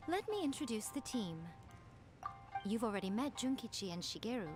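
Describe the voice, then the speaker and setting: A woman's voice: a little high, big pitch swings, moderate pace, music in the background. An actress playing a shrewd, teasing kitsune woman in a video game, articulate and self-assured. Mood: playful, confident. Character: poised, playful, shrewd kitsune woman running a publishing house.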